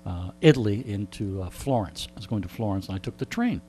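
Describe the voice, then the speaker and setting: A man's voice: fairly low pitch, expressive, quick pace, dark tone. A man being interviewed in a radio studio, telling stories in a casual, joking, rambling way. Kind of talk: casual anecdotal storytelling. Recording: faint mains hum.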